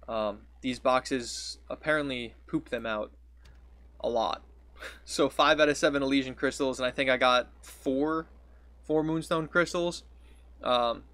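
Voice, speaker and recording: A young man with a deep, raspy morning voice, chatting casually as a game streamer into a close studio mic in a small home room, rambling and correcting himself as he goes. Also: fairly bright tone, no echo. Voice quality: deep and raspy after waking. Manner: rambling, self-correcting, friendly. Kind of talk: casual gaming vlog talk. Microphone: studio mic on boom with pop filter, headphones.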